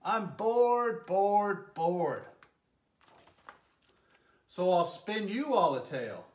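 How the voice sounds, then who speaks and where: A man voicing a sleepless storybook bear who is loud and exasperated. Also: high voice, huge pitch swings, moderate pace, pitched above the performer's own voice. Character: sleepless grumpy male bear. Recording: roomy echo.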